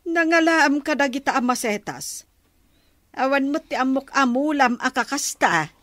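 Eager, high-voiced village customer, Ilocano accent. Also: female voice, animated, moderate pace, a bit bright, no echo. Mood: admiring. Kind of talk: Ilocano radio comedy drama acting.

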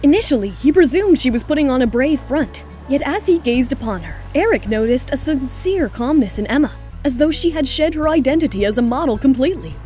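Female narrator, very high pitch, soft mellow tone, scripted drama storytelling, faint music underneath. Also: expressive, brisk, few pauses.

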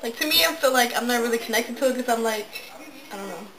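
High-voiced hesitant young woman. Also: quick pace, fairly bright tone, poor audio. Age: young adult. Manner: hesitant, giggly, unsure. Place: bedroom indoors.

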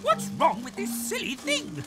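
An actor voicing a fussy, grumpy, excitable weasel in a children's cartoon, whiny and melodramatic. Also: male voice, extremely high pitch, a little slow, full character voice. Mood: panicked. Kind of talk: animated preschool cartoon villain acting. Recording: loud music under the voice.

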